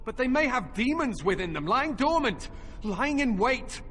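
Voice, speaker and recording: An actor playing a fearful, zealous knight in a fantasy game, with an intense, accusing, insistent manner. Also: male voice, very high voice, sing-song, moderate pace, a bit bright, full character voice. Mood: accusing, agitated. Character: fearful, hard-line zealous templar. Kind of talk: fantasy video game voice acting. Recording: long hall reverb, faint background noise.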